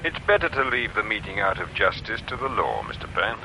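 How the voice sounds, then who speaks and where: An actor playing a terse, authoritative police officer in a radio drama, with a dry, clipped way of talking. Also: male voice, on the low side, big pitch swings, fairly quick, creaky, sharp tone, a little hiss or hum, exaggerated voice, low-quality recording. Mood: grave, restrained.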